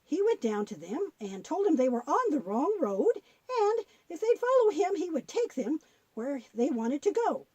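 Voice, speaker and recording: A woman's voice: high-pitched, sing-song, normal speed, darkish tone, dry recording. A woman playing a kindly, folksy grandmother who patiently tells Bible stories in a children's audio drama. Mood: animated storytelling.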